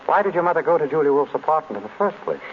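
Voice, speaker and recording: Guy playing a sharp dry-witted detective in an old radio show, brisk questions, muffled hissy sound. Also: fairly high pitch, lively intonation, lightly acted. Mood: probing. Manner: brisk, probing, wry. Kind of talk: 1930s radio acting. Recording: dull, noisy old radio recording.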